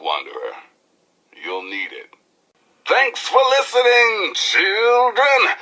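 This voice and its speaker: An actor playing a cocky, flamboyant post-apocalyptic rebel radio DJ with an American accent and showy, slangy delivery in a video game. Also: male voice, on the low side, pushed and bright, exaggerated voice, low-quality recording. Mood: exuberant, showy. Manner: showy, slangy, drawn-out emphasis with howls. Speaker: radio DJ and newscaster. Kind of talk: theatrical radio DJ patter.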